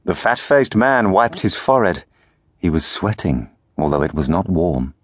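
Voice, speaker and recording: A man narrates a fairy tale in a very deep voice. His delivery is lively and full of rise and fall. The studio sound is dry and close. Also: moderate pace, dry recording. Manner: expressive storytelling. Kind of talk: audiobook narration.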